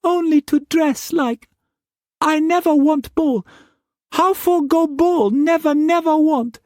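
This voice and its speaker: A woman's voice: high-pitched, slow pace, darkish tone, dry recording, clean studio sound, pitched above the performer's own voice. A man voicing a frightened, pregnant young woman who begs in broken pidgin English. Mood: pleading, tearful.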